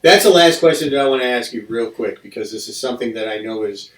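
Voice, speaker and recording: A man's voice: medium pitch, expressive, fast. A man hosting a casual podcast, rambling along and restarting his sentences as he talks. He sits a little back from the mic, and the room around him is echoey. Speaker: podcast host. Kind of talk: casual interview chat. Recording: roomy mic from a distance.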